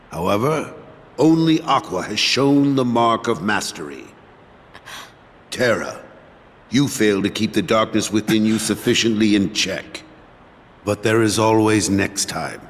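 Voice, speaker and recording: An actor playing a stern, solemn older master in a video game, formal and measured, with echoing authority. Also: male voice, fairly low pitch. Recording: long echoing hall reverb.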